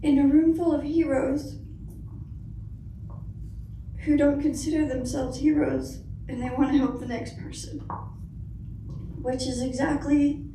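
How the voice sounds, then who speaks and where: A middle-aged woman with a soft voice, giving a hesitant personal testimony to an audience. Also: sits high. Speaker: guest speaker, foundation founder. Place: indoor conference room. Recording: roomy hall, distant mic, faint noise.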